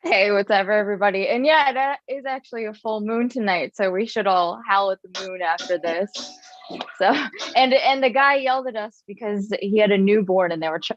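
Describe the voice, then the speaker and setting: A woman performing spoken word poetry at an open mic, reciting in a measured, deliberate way. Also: medium pitch, no room sound. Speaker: poet performer. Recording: dull lo-fi sound.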